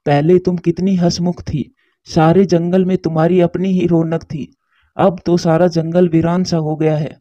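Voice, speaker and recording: A man voicing a caring, sensible male bird in a cartoon story, earnest and measured, on a muffled track. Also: a little high, level tone, dark tone, no echo, close to an everyday voice. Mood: sad, concerned. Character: caring, sensible adult male pigeon, protective friend. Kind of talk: Hindi cartoon story dialogue.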